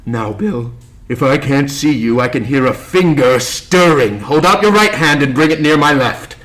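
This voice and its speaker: An actor playing a menacing blind pirate villain in a theatrical drama, mock-polite, deliberate and threatening. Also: male voice, medium pitch, expressive, a bit bright, close to an everyday voice. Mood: cold menace.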